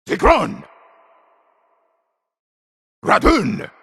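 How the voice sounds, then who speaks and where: A man voicing a savage orc warrior in a video game, in short strained, raspy, explosive shouts. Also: sits high, huge pitch swings, drawn-out words, heavily acted. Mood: fierce. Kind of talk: video game combat barks.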